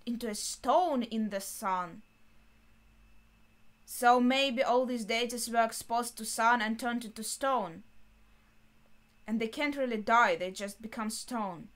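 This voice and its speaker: A woman in her twenties giving casual reaction commentary, thinking aloud in a halting way in a small bedroom. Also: a little high, a bit bright. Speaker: reaction video host.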